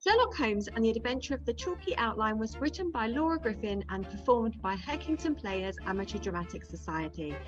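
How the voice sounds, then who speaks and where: A woman's voice: a little high, animated, fairly quick, hardly any pauses. Woman narrator, muffled, over music. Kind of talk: scripted credits narration for radio drama. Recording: dull sound with music behind.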